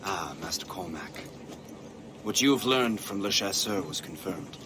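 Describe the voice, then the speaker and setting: An actor playing a stern, formal middle-aged colonel in a video game, speaking in a measured way over background noise. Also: male voice, low-pitched, animated. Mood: businesslike. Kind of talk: video game cutscene acting. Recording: clear background ambient noise.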